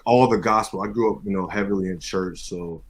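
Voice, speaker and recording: A man from the eastern US talks casually as a guest, his voice low and very husky. His delivery is fairly even and laid-back, sprinkled with conversational tags. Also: brisk. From Maryland and Florida, US. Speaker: guest music producer. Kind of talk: casual interview chat.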